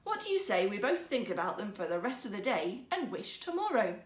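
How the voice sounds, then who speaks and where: A woman's voice: medium pitch, sing-song, fairly quick, lightly acted. A woman voicing a poor woodcutter husband in a fairytale, earnest, emphatic, teasing and easily riled. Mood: pleased, measured. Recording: roomy, echoing sound.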